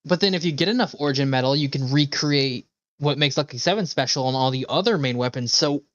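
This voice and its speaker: A man's voice: fairly high pitch, quick pace, fairly bright tone, dry recording. Rambling man, dry, slightly dull sound. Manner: rambling, musing, hesitant thinking aloud. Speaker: game streamer. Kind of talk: casual livestream chat while playing.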